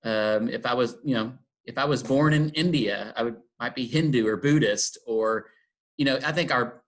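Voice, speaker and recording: A middle-aged man from Texas speaks in a thoughtful, unhurried way. He pauses with ums and circles back on his phrases as he works through an idea. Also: medium pitch, poor audio. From Texas, USA. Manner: thoughtful, many ums and restarts. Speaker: interfaith dialogue guest. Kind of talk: reflective conversation.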